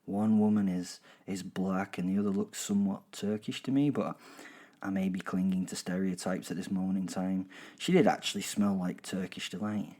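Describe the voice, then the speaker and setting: A man's voice: deep voice, creaky, darkish tone, husky, heavily acted. A man playing an arrogant, savage werewolf who narrates a horror audio drama in a theatrical, sardonic way, savouring his words. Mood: wry. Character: arrogant, gleefully savage werewolf killer telling his hunts.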